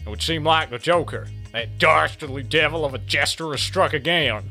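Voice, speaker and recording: Casting: man as grave police chief, forceful and declamatory, worried, for a comic dub. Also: fairly high pitch, normal speed, faint music behind. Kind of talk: comic dub character acting.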